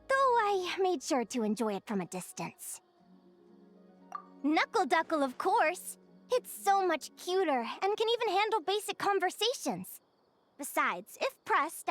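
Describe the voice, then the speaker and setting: A woman playing a cheerful, curious young adventurer and naturalist in a video game, speaking in a bubbly, earnest and expressive way. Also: high voice. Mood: earnest, upbeat. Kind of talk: animated video game character acting.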